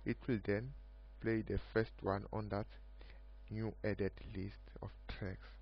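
A man giving an unscripted screen-recorded software demo of his own project, speaking haltingly in short broken phrases. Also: lowish voice, flat delivery, over music. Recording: muffled lo-fi mic with background hum.

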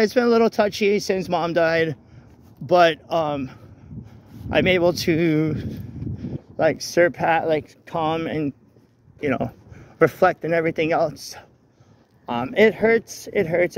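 High-voiced man chatting outdoors. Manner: informal, halting, repeats phrases. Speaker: vlogger. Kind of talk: casual rambling walk-and-talk chat. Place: outdoors walking along a street at night. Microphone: handheld phone. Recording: noisy outdoor phone, traffic ambience. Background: vehicles and street ambience.

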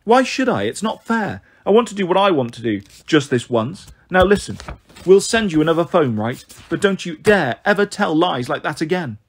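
A man's voice: on the high side, very animated, husky, full character voice. A man voicing an exasperated, defiant older sister in a storybook, reproachful and insistent. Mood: angry. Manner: rapid-fire, reproachful. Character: exasperated, defiant elder sister of young girl.

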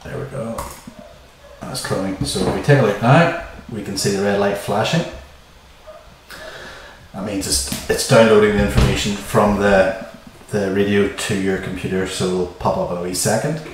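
A man's voice: fairly low pitch, steady tone, normal speed. A man, giving a casual, plain-spoken how-to explanation as the host of a video. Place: small bare-walled room indoors. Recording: echoey small room, distant mic, faint noise.

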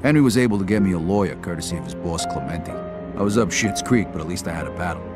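A man narrates his own crime story in a very low, tough voice with a wry edge. Game music runs beneath his words. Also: expressive, fast. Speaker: narrator, protagonist. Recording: game audio with music behind.

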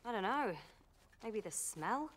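A young actress playing a sharp-tongued, indignant young woman companion who talks in a quick, teasing way, in scripted TV drama. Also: on the high side, expressive, a little hiss or hum. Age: young adult. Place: period restaurant set.

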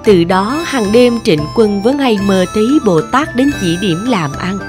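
A woman's voice: medium pitch, lively intonation, a little slow. A woman narrates a traditional tale in a scripted storytelling style. Music plays faintly behind her voice.

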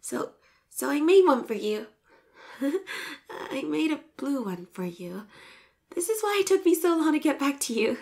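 A woman acts out a sweet schoolgirl in love, her voice high and swooping. She speaks slowly and shyly, stammering when flustered and dropping into breathy whispers. It is an intimate romantic roleplay, bashful yet insistent. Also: very animated, a little mellow, exaggerated voice. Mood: bashful, hopeful.

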